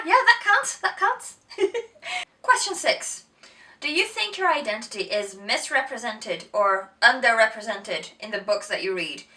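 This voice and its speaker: A woman with a blended Brazilian, American, English and Northern Irish accent talks to camera in a chatty, giggly way, full of ums and self-corrections. Her voice is bright and a little high, and she sits some way back from the mic. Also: far from the mic. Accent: mixed Brazilian, American, English and Northern Irish. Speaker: book vlogger. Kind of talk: casual chat to camera.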